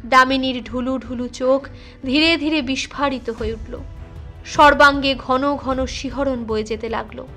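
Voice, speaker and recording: High, bright woman storyteller. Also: fairly even intonation, measured pace. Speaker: storyteller, channel narrator. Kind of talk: dramatic audiobook narration of horror fiction. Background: faint background music.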